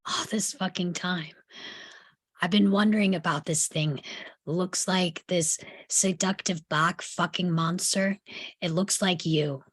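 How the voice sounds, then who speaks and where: Low female voice, woman performing a hurt defiant young patient, film script table read. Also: steady tone, slow pace, breathy edge. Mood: anguished, defiant.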